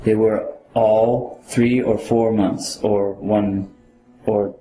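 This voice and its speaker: A man playing a citizenship applicant in a scripted mock interview, answering slowly and carefully. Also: lowish voice, flat delivery, warm tone, soft background music. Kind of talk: scripted role-play. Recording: echoey room.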